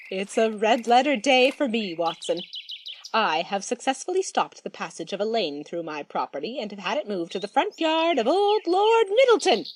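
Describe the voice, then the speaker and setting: A tipsy, animated, gleeful country busybody. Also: female voice, fairly high pitch, slight background noise. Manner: chatty, self-satisfied, theatrical. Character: Mrs Frankland, tipsy, gleefully litigious, gossipy country lady. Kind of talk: audio drama acting.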